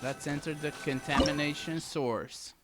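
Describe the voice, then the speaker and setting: A man's voice: on the high side, steady tone, unhurried. A man doing casual video game commentary, playfully muttering to himself and echoing game text. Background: loud game music.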